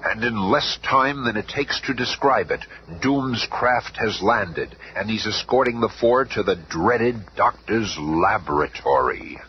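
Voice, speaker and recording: An actor playing a theatrical adventure narrator, telling a radio serial with suspense. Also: male voice, on the low side, expressive, measured pace. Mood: suspenseful. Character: dramatic, suspense-building adventure narrator, grown man. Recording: muffled, noisy old radio recording.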